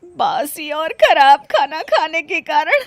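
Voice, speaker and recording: A woman's voice: high-pitched, sing-song, takes it slowly, dry recording, clean studio sound, voice pushed up, exaggerated voice. A woman voicing a lazy, plaintive daughter-in-law in a cartoon story, with exaggerated dramatic delivery. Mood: pained, whining. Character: lazy whining daughter-in-law, defiant then suffering in pain. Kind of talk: Hindi cartoon story dialogue.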